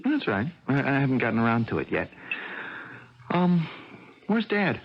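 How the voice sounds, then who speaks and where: An actor playing an evasive, uneasy college-age son in a radio drama, halting and distracted, often stammering. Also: male voice, medium pitch, huge pitch swings, gravelly, full character voice. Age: young adult. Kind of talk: 1980 American radio drama acting. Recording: muffled, faintly noisy old radio broadcast.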